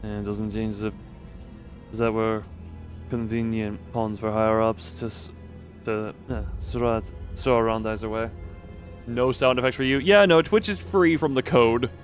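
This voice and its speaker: A man's voice: medium pitch, steady tone, a little slow. Male game streamer, mid-range voice, casual and rambling, reads lines aloud, music underneath. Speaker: livestream host playing a game. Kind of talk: casual livestream commentary, reading game dialogue aloud.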